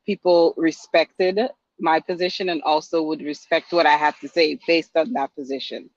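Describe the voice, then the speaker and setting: A woman with a fairly low voice answers interview questions hesitantly, pausing often with ums. The audio is dry and dull, a bit lo-fi. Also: fairly even intonation, dry recording. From Guyana. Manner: hesitant, halting, many ums. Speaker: guest attorney.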